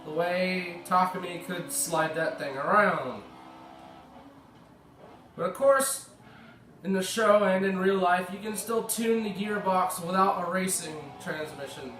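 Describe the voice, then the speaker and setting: A man's voice: high-pitched, a little slow. An American man, a bit sick, giving rambling casual commentary over gameplay and correcting himself as he goes. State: slightly sick. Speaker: gaming video creator. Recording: echoey room, mic at a distance, faint music.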